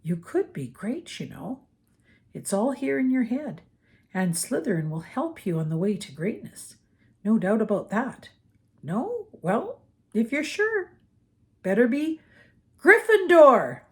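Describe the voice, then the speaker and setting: A woman's voice: a little high, sing-song, a little mellow, voice pushed up. A woman voicing an ancient talking magic hat that muses and asks sly questions, reading an audiobook. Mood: musing. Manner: musing, drawn-out, teasing questions. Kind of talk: audiobook character voice.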